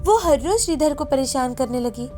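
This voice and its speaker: A woman with a very high voice tells a scripted moral tale. She narrates as a storyteller, with faint music playing behind her. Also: lively intonation, normal speed, mellow.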